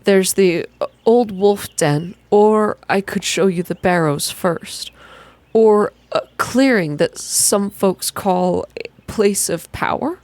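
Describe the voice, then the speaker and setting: A woman's voice: medium pitch, a little mellow, dry recording, close to an everyday voice. Woman acting a serious, mysterious forest guide man. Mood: hesitant, offering. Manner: serious, deliberate, halting. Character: earnest, mysterious, slender outdoorsman guide in forest.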